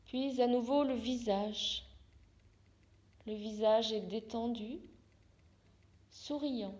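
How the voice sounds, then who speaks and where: A woman guiding a yoga nidra relaxation as a therapist, calmly, in short soothing instructions with pauses. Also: a little high. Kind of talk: guided yoga nidra meditation.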